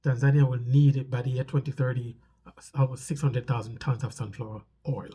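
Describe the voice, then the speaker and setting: A man speaks in a strongly husky, congested voice with a dark, relaxed tone. He explains things casually to his viewers as a vlog host. He often repeats short phrases to stress a point. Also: mid-range voice, fairly quick. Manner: conversational, repeats phrases for emphasis. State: stuffy nose from a cold.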